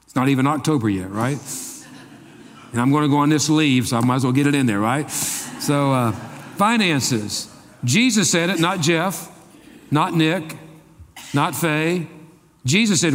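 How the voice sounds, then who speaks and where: A male pastor giving a folksy sermon, joking and asking the congregation quick questions. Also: a little high. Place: church sanctuary. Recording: long reverb of a large hall.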